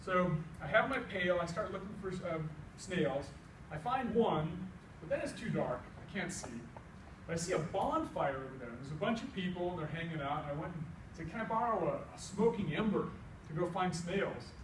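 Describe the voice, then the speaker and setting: A middle-aged American man tells a comic tall tale live, in a folksy, animated way, acting out both sides of the dialogue. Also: high-pitched, normal speed. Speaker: storyteller. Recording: echoey room, distant mic, faint noise.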